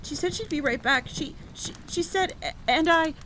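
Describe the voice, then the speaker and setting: A woman's voice: high-pitched, moderate pace, fairly bright tone, pitched above the performer's own voice, rough recording. A woman voicing an anxious young farm girl in an audio story, stammering and pleading breathlessly. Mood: nervous, stammering. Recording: clear background noise of rain and thunder.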